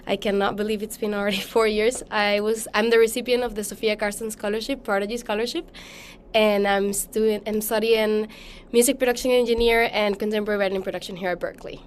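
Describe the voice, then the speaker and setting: A woman's voice: mid-range voice, fairly even intonation, a little fast, a little hiss or hum. Young woman student, polite intro. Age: young adult. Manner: polite, grateful. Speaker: college student. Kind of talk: self-introduction.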